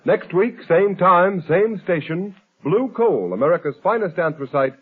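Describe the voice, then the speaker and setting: A man's voice: high voice, animated, measured pace, husky. A man announcing formally on 1930s radio in a polished, declamatory style. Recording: muffled 1930s radio broadcast.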